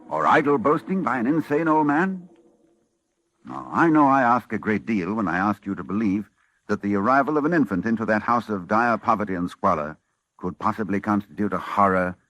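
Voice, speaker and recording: An actor playing an anxious, solemn scholar who narrates a horror tale in formal, dramatic 1940s radio style. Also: male voice, fairly low pitch, lively intonation, moderate pace, a bit bright. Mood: ominous narration. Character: grave, anxious male scholar warning of cosmic horror.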